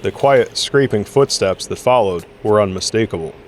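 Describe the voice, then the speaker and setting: A man with a fairly low voice narrates a dramatic story from a script. Faint city and vehicle ambience runs behind his reading. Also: normal speed, slight background noise.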